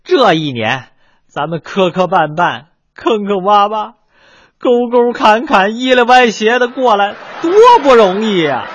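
An actor with a Beijing accent playing a harried, fast-patter crosstalk comedian who runs a teahouse, in a radio comedy drama. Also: male voice, high-pitched, animated, fairly bright tone, no echo, lo-fi sound, full character voice. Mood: pleading. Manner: rapid comic patter, fussing and fawning. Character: harried male crosstalk comic and teahouse boss.

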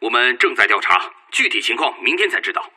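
An actor playing an earnest, worried Red Army political commissar in a formal, emphatic radio drama style. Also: male voice, medium pitch, big pitch swings, a little fast, pushed and bright, exaggerated voice. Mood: urgent, respectful. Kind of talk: Chinese radio drama acting. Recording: echoey hall reverb, music underneath.

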